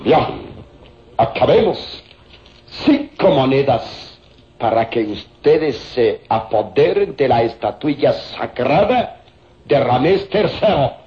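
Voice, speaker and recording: Slow, sinister villain, old radio. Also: male voice, medium pitch, expressive, dark tone. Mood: impatient. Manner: sly, taunting, drawn-out delivery with menacing chuckles. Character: corpulent, greedy, sinister male villain hiring killers. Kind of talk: Spanish-language radio serial acting. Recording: dull, hissy old radio recording.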